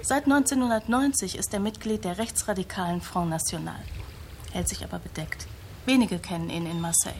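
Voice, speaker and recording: An actress playing a sober, knowing investigative journalist in a radio drama, direct and matter-of-fact. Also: female voice, medium pitch, brisk, slight background noise, natural voice. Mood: factual, serious. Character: sober, knowing female investigative journalist, loyal old friend.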